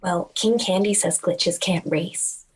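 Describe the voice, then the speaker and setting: A woman voicing a condescending, mocking mean-girl child racer in an animated film table read. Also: lowish voice, slow, crowd noise, low-quality recording.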